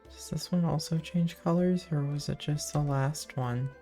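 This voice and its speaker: A woman's voice: deep voice, moderate pace, warm tone, soft background music. A woman giving casual, dry and offhand commentary as she streams a video game.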